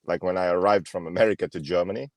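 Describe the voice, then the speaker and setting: Deep-voiced laid-back podcast co-host. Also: male voice, steady tone, fast, no echo. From Finland. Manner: laid-back, hesitant, chuckling. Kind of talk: casual chat.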